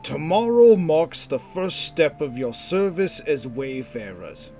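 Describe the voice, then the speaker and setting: A man voicing a calm, authoritative guildmaster with a measured, commanding delivery, in a fantasy audio story. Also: fairly high pitch, soft background music, pitched above the performer's own voice, exaggerated voice. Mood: calm authority. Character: calm, authoritative scarred male guildmaster addressing recruits.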